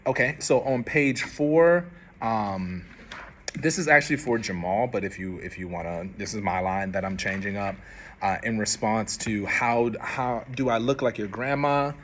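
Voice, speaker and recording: A man directing a rehearsal in a theater, giving script notes in a casual, halting way with many restarts. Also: fairly low pitch, a bit bright. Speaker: director and playwright. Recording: noisy room.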